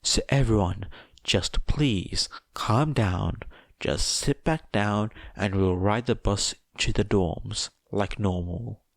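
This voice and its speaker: A man voicing an excitable male teacher in a story, informal and eager to placate. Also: fairly low pitch, slow pace, no echo, clean studio sound. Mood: placating.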